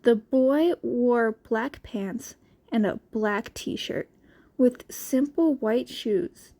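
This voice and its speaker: A woman with a fairly high voice narrates a story as an amateur audiobook reader. Her reading is expressive and very unhurried.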